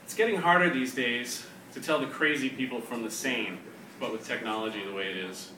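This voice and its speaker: A man's voice: medium pitch, fairly quick, bright, forceful tone. A man with a wry, self-deprecating manner, hosting and reading aloud to a live audience. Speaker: co-host of a reading series, poet. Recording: echoey room, distant mic, noisy live audience.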